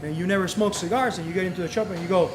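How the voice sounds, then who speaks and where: A man's voice: high voice, fairly even intonation, very fast, noisy background. A man whose first language is not English hosts a live cigar lesson in a cigar shop, coaching patiently and repeating key points. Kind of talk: live instructional talk. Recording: echoey room with crowd chatter.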